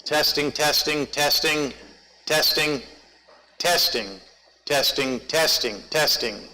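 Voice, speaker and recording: A man with a loud voice, reading psalms aloud in a church during a microphone sound check. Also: fairly high pitch, very slow, poor audio. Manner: loud, projecting voice. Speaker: scripture reader testing church microphones. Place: church sanctuary. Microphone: pulpit and lapel mics.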